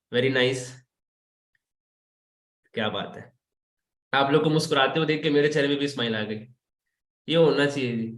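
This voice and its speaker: A young man from Chhattisgarh hosts an online webinar in a chatty, teasing way and talks straight to his viewers. His voice sits high for a man. The sound comes from a video call and is echoey, as if he is in a bare room. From Chhattisgarh, India. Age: young adult. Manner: chatty, playful, addresses viewers directly. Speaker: webinar host. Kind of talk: casual online webinar talk.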